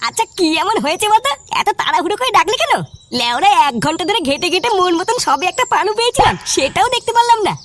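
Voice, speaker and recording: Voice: very high-pitched, sing-song, quick pace, background noise. A performer who sounds like a woman, voicing a cheeky, argumentative village boy in a comic cartoon, brash and whining. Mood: annoyed.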